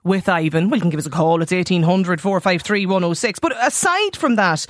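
A chatty Irish woman hosting a radio phone-in, asking probing questions in a friendly way. Her pitch moves in a lively way. The sound is dry and close on a studio mic. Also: brisk, no room sound. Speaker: radio show host.

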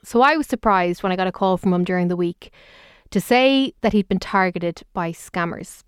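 A woman's voice: fairly low pitch, normal speed, smooth, no echo. Dry-voiced woman radio host. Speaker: radio tech show host. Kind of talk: radio presenting and interviewing.

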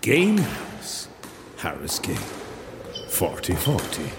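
An actor playing a gruff, commanding man in a radio drama, with a gravelly voice. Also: low voice, wildly swooping pitch, slow pace, background noise, heavily acted. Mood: deliberate, announcing. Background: music.

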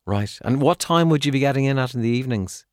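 A man hosting a radio interview, asking questions and giving short, low acknowledgements. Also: lively intonation, quick pace, dry recording, clean studio sound. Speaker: radio host.

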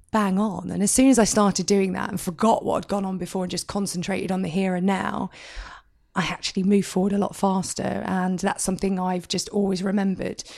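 A woman in her forties with a husky, hoarse voice from a sore throat, chatting in a relaxed, self-deprecating way as a podcast guest. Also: medium pitch, dry recording, studio quality. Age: 40s. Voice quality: husky, scratchy. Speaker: podcast guest, news anchor. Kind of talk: casual interview chat. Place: at home in her flat.

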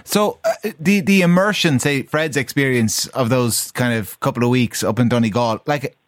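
Irish man, radio host, mid pitch, conversational questions, dry studio mic. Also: moderate pace, no room sound. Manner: conversational, asks probing questions. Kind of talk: radio interview.

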